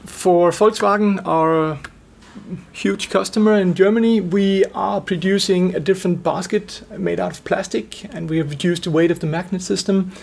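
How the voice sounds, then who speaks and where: A man in his forties giving measured technical explanations as an expert in an interview. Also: high-pitched, steady tone, vocal fry, a little mellow. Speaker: expert guest, loudspeaker driver engineer.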